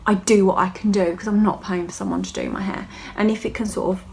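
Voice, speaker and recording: A woman's voice: mid-range voice, fairly even intonation, fast talker, vocal fry, slight background noise. A woman, a hairdresser chatting casually to her viewers in a video diary, rambling from one thing to the next. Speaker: vlogger and hairdresser.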